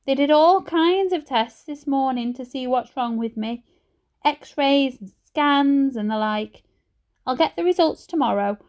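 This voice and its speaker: A woman voicing a frail, kindly old grandmother in hospital with a croaky, slightly slurred voice, for a children's storybook. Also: sits high, lively intonation, a little mellow, voice pushed up. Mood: brave, wistful. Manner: slightly slurred, slow speech.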